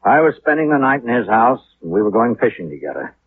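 An actor playing a slick, cynical American city attorney in a 1950s radio drama, speaking in a wry, glib, knowing way. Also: male voice, medium pitch, big pitch swings, quick pace, lightly acted. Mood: wry, insistent. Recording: tinny, noisy 1950s radio recording.

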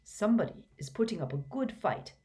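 A woman voicing a gentle, calm old male wizard headmaster who speaks softly and mildly. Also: sits low. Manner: soft, mild, unhurried.

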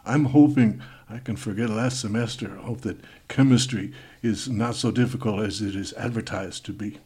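A man playing a halting Spanish student. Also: on the low side, darkish tone, breathy edge. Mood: formal. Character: young male Spanish exchange student, formal, awkward.

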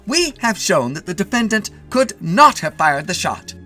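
A man voicing a combative, indignant defense attorney, declaiming and shouting, in a dramatized story reading. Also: high-pitched, sing-song, normal speed, soft background music, voice pushed up, full character voice.

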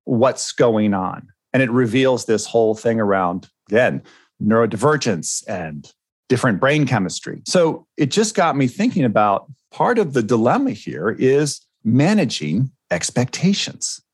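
A man's voice: mid-range voice, sing-song, measured pace, husky, no echo. Man co-host, mid-range voice, reflective and explanatory, dry close recording. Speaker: podcast co-host and ADHD coach. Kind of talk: reflective podcast talk.

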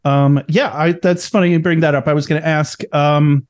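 A man hosting a podcast interview, asking hesitant questions full of ums and quick laughs. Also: a little high, steady tone, darkish tone. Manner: hesitant, filler-heavy, laughs easily. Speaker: podcast host. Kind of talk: casual interview chat.

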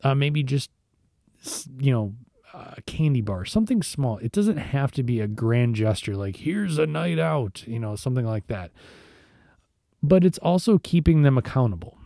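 A hoarse man, a church musician and host, giving an informal devotional talk in a conversational way with frequent restarts. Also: medium pitch, big pitch swings, normal speed, mellow, no echo, clean studio sound. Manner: conversational, repeats and restarts words.

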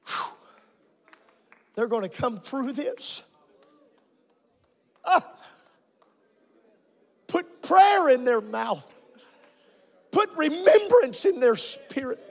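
Breathless high-voiced preacher, fervent. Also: male voice, very animated, extremely slow, vocal fry, pauses often. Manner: fervent, repeating phrases, shouting and dropping to low murmurs. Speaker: pastor. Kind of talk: Pentecostal preaching and prayer. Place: church sanctuary with congregation.

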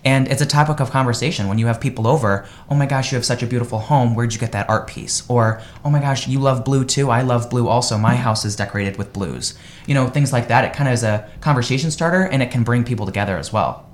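A man's voice: mid-range voice, fast talker, a little hiss or hum. A man from the United States, a real estate agent guesting on a live radio interview, talking in an upbeat, enthusiastic way.

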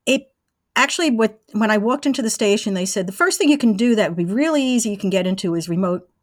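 A woman's voice: mid-range voice, expressive, fast talker, dry recording. A woman in her sixties recounting her past step by step in a conversational interview, as the guest. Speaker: interview guest, former college radio engineer.

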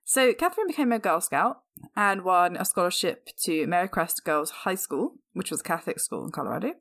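Croaky woman, chatty and laughing. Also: lowish voice, animated, fairly quick, fairly bright tone. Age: 30s. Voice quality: croaky, slightly sore throat. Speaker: podcast co-host. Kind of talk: casual chat.

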